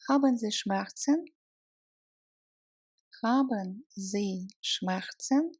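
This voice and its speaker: A woman narrating a language lesson, reading short scripted phrases deliberately and separating the words for learners. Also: mid-range voice, expressive, a little mellow, breathy edge, dry recording.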